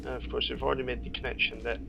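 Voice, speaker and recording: Gravelly man giving casual game commentary. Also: mid-range voice, level tone, very fast. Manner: musing, talking to himself while playing. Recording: noisy home recording with game sounds.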